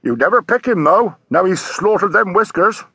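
A man's voice: high voice, expressive, moderate pace, pushed and bright, breathy edge, no echo, pitched above the performer's own voice, heavily acted. A man voicing a stubborn merchant sailor on watch, with insistent, ungrammatical working speech in an audiobook. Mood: chatty. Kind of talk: audiobook character voice.

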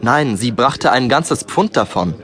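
A man's voice: medium pitch, lively intonation, measured pace, fairly bright tone. A man playing a disciple who narrates his memories reflectively, in scripted children's audiobook style. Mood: reflective, explaining. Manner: reflective, storytelling. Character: male disciple recounting memories as storyteller.

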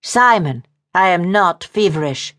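A woman voicing a headstrong young Regency lady, snappy and defiant, with a quick temper and old-fashioned wording. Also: on the low side, animated, pitched above the performer's own voice. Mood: firm, angry. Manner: emphatic, snappy, defiant, archaic polite wording.

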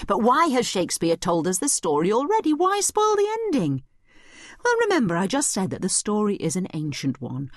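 A woman's voice: medium pitch, no room sound, clean studio sound. A woman giving scripted educational commentary as a narrator, conversational and explanatory in manner. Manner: animated, explanatory. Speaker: narrator and study guide commentator.

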